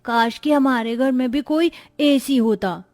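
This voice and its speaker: A woman's voice: high-pitched, unhurried, darkish tone, dry recording, studio quality, soft background music. A woman voicing a curious, plaintive young girl in a moral-story cartoon. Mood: wistful.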